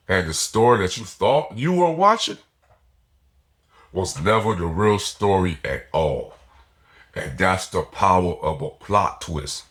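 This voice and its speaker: A man's voice: low voice, a bit bright. A man hosting a motivational podcast, sounding nasal and foggy from a cold, speaking with earnest emphasis. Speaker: podcast host.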